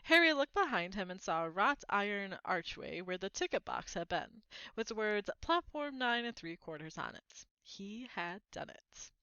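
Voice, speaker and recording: A woman narrates a children's book aloud with expression. Her voice is bright and forward at a middling pitch. It is recorded close and dry, with slightly dulled sound. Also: clean tone, no echo, dull audio.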